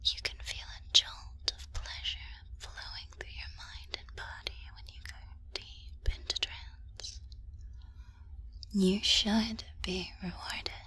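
A woman whispering a guided ASMR hypnosis script in a breathy voice, soothing yet commanding and suggestive, with drawn-out pauses. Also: medium pitch, bright, forceful tone.

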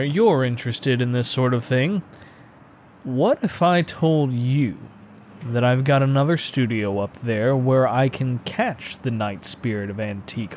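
An impassioned, scornful painter , acted out by a man holds forth in a horror audiobook. He speaks slowly and theatrically, leaning on his words with dark, secretive fervor. A faint layer of noise sits under the voice. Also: medium pitch, close to an everyday voice. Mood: conspiratorial. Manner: theatrical, emphatic, declamatory, with exclamations. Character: impassioned, scornful, secretive male painter obsessed with macabre antiquity. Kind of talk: dramatic audiobook reading of horror fiction.

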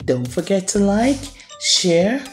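A woman hosting a children's storytime, reading a picture book aloud in a deliberate, expressive storyteller's delivery. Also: medium pitch, faint music behind.

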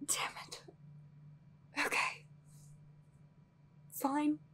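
A woman playing a weary, guarded sorceress in an audio roleplay, earnest and anxious, with a breathy, husky voice and hesitant, sighing phrasing. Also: very slow, bright, forceful tone, whispered. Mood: resigned. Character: weary guarded female sorceress, anxious, fiercely logical, secretly lonely. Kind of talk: dramatic audio roleplay acting.

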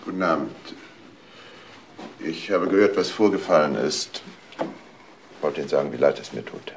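Middle-aged male doctor, crime radio drama: indignant, hesitant, very animated intonation, slight background noise. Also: rumbling low, normal speed, vocal fry, heavily acted. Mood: subdued. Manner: hesitant, polite, evasive.

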